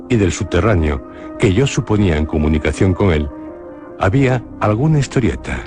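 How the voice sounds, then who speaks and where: A man with a Spanish accent from Spain, narrating a radio drama in the first person in a measured storytelling manner. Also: low voice. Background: music.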